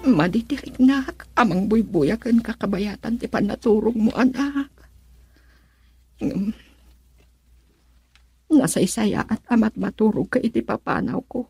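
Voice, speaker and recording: A woman's voice: on the high side, measured pace, gravelly, long pauses, warm tone, breathy edge, no room sound, exaggerated voice. A woman playing a dutiful, tearful young wife and mother in a radio drama, with an Ilocano Filipino accent. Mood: soft, sad. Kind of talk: melodramatic radio drama acting.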